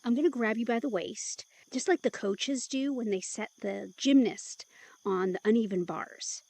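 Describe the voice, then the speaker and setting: A woman's voice: fairly high pitch, moderate pace, gravelly, a little mellow. A woman playing an eager, playful, stubborn young woman in an intimate audio drama, perky and insistent.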